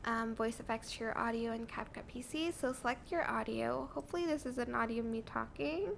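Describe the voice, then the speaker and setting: A woman giving a casual software tutorial as a channel host, talking viewers through the steps. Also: fairly high pitch, brisk, creaky, slight background noise.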